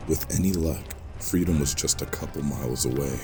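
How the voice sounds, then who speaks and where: A man's voice: very deep voice, fairly quick, over music. A man giving scripted, dramatic narration as the storyteller of an audio drama.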